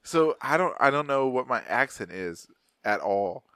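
A man's voice: medium pitch, expressive, moderate pace, a bit bright, no echo. A man in his thirties from Arizona, hosting a podcast in loose, rambling casual chat, swearing freely and laughing often. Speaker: podcast host.

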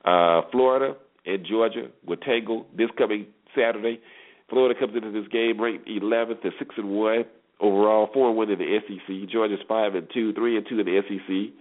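Deep-voiced sports host on tinny phone line. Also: male voice, steady tone, a little fast. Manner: conversational, with rhetorical questions and repetition for emphasis. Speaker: sports talk show host. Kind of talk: solo sports commentary. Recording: tinny phone-line internet radio audio.

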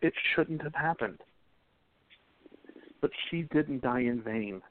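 A man acts a gentle 1920s sweetheart in a radio drama. He speaks earnestly and tenderly in an average-pitched male voice. The audio is narrow and tinny, like a telephone. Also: expressive, slow. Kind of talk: radio play acting. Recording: tinny phone-like sound.

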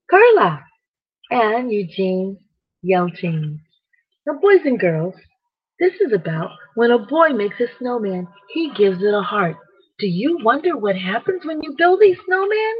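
Female children's storyteller: husky, very animated pitch, deliberate sing-song questions aimed at little listeners. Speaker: children's bedtime story host. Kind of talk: storytelling address to young children. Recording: dull, lo-fi recording.

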